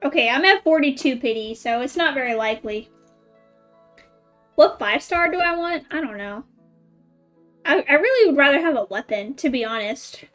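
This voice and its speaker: High-voiced woman streaming a game. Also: expressive, moderate pace, pauses often, a bit bright, soft background music. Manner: chatty, thinking aloud in short playful remarks. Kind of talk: casual live gameplay chat.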